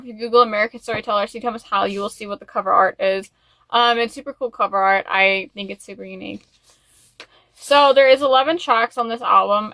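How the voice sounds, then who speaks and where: A woman's voice: fairly high pitch, bright, forceful tone, no echo. A woman hosting a casual video, chatting to the camera in a rambling, gushing fan style full of "like" and "you know". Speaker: YouTube host. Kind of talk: casual chat to camera, music album review.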